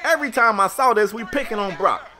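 An American man giving casual, slangy play-by-play commentary on a sports video game in an animated storytelling manner. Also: sits high, quick pace, a faint crowd.

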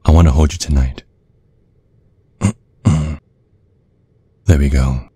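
A man playing a tender, protective vampire boyfriend in an ASMR roleplay, speaking in a soothing, coaxing, affectionate way with a husky voice. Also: very deep voice, fairly even intonation, slow, mellow, dry recording, exaggerated voice. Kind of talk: ASMR roleplay, intimate soft talk.

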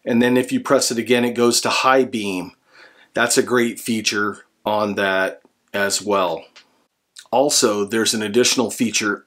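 An American man in his forties talks to camera as a product reviewer. His voice sits at a medium pitch. He explains things in an earnest, instructional manner, speaking from a room at home. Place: small room indoors at home.

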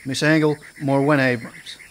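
An actor playing a gruff, blunt old-west marshal in a radio drama. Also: male voice, mid-range voice, fairly even intonation, moderate pace, lightly acted. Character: gruff weary male marshal in 1909.

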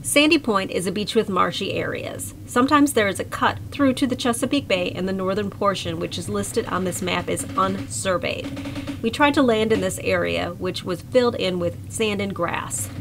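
A woman reading a calm, scripted voice-over for a sailing travel vlog. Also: medium pitch, moderate pace, background noise. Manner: calm, informative. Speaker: vlog narrator.

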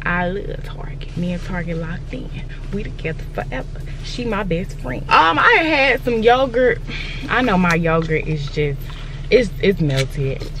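A woman's voice: mid-range voice, very animated, unhurried, a bit bright, faint music behind. A young woman vlogging in casual chat to her viewers, blunt and fired up, swearing freely as she talks from her car. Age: young adult. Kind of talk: casual vlog chat to camera. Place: inside a car. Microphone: phone camera on dashboard. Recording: clear car road noise. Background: car and road noise.

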